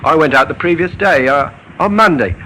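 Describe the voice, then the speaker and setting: Eager, high-voiced young postal boy. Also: fairly quick, pushed and bright. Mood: earnest, nervous. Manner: hesitant, earnest, deferential. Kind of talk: old-time radio drama acting. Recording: faintly noisy old radio recording.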